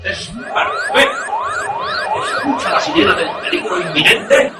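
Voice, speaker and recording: Distant and echoey, a man acts as an excitable fighter pilot in a suspense radio drama. His voice swings wildly in pitch. He is reckless and intense, exclaiming breathlessly. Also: unhurried, over loud music, heavily acted. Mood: reckless, enthralled. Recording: distant, echoey, noisy cockpit-like sound.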